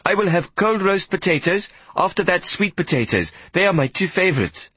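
A man's voice: high voice, expressive, moderate pace, pushed and bright, breathy edge, no echo, pitched above the performer's own voice, full character voice. A man reading an audiobook, voicing a polite, earnest young boy who apologizes and speaks formally.

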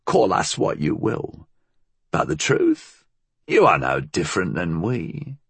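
A man voicing a frail, vicious old blind woman in a story, hissing and husky, with a cunning, formal way of speaking. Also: sits low, wildly swooping pitch, a bit bright, no room sound, heavily acted. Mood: bitter, scornful. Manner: slow, cunning, menacing, formal.

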